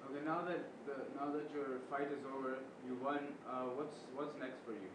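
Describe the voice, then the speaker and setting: A man's voice: mid-range voice, fairly even intonation, normal speed. Male interviewer, talkative questioner; distant, roomy, noisy recording. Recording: roomy, distant mic with background noise.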